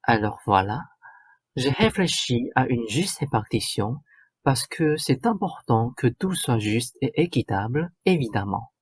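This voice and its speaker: A man's voice: medium pitch, animated, unhurried, breathy edge, no room sound. A man playing a bossy, short-tempered stepmother in a radio drama, curt and lecturing. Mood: bossy, formal. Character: domineering, short-tempered stepmother laying down the law. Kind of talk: stage-like radio drama acting.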